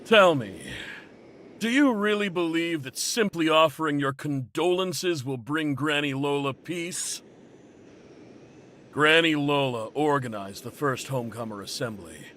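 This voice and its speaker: A man's voice: on the high side, measured pace, a bit bright, slight background noise. A man voicing a bitter, manipulative faction leader in an anime game, theatrical and full of pointed questions. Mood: scornful, rousing.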